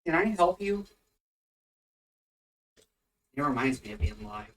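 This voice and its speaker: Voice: medium pitch, sing-song, slow, recorded from a distance, low-quality recording. A co-host of a casual home faith podcast, rambling and teasing, tired and yawning. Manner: rambling, teasing, easily sidetracked. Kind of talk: casual religious chat podcast. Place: home room with pets.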